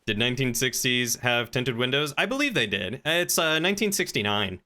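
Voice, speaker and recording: A man streaming a roleplay game, chatting casually and talking in character in a wry, measured way. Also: mid-range voice, animated, sharp tone. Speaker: game streamer playing a crime boss.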